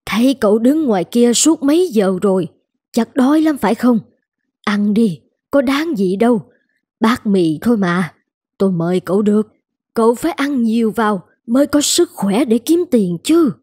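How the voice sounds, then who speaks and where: Husky, kindly noodle-shop woman. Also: a little high, expressive, normal speed, dark tone, no echo, studio quality. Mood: brisk, reassuring. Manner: plain-spoken, reassuring, insistent. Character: kindly, brisk, generous older woman running a noodle shop. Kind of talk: animated moral-tale voice acting. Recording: music under the voice.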